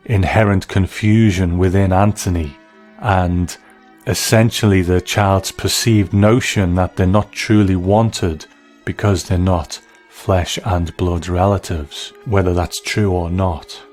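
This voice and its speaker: Low-voiced man giving analytical commentary over music. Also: darkish tone. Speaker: narrator and film critic. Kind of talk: scripted video essay commentary.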